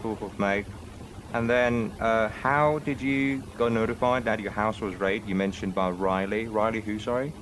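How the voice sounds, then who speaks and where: Man playing a low-voiced defense lawyer. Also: fairly even intonation, moderate pace, noisy background. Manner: formal questioning with frequent uhs. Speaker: defense lawyer in a role-play court. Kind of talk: courtroom cross-examination.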